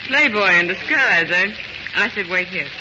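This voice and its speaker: An actress playing a brisk, wry newspaper secretary in a vintage radio drama, with a clipped, efficient manner. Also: female voice, mid-range voice, animated, close to an everyday voice. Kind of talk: 1940s radio drama acting. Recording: very noisy, muffled old radio recording.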